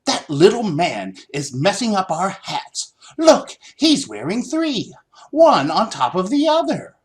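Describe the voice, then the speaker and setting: A man puts on a cross shop woman from a children's storybook. The voice is sharp and pitched well up, swinging widely as it scolds. The manner is bossy and indignant. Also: wildly swooping pitch, takes it slowly, full character voice. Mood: indignant complaining.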